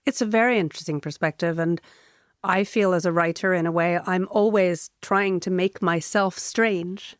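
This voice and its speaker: A woman journalist hosting a literary interview, putting carefully phrased, articulate questions in a measured way. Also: lowish voice, clear, resonant tone, no echo, clean studio sound. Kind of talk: literary interview before an audience or camera.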